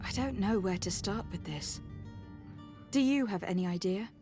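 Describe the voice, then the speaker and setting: A woman's voice: medium pitch, normal speed, dark tone, close to an everyday voice. An actress playing a polite, composed young noblewoman in a fantasy game, courteous and formal as she speaks. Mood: businesslike. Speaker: voice actor in a video game. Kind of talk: scripted fantasy game dialogue. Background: music.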